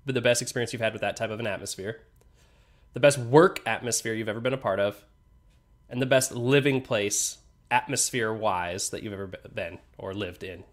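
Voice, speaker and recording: A man's voice: lowish voice, fairly quick. Congested laid-back young man. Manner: laid-back, swears, laughs often. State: congested from a sinus infection. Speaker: podcast co-host. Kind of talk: casual chat over beers.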